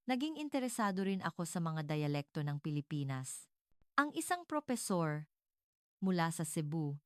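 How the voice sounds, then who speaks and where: A woman with a low voice narrates a scripted story in a formal, measured way. The recording is dry and close, with hardly any room sound around her dramatic narration. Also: smooth, no room sound.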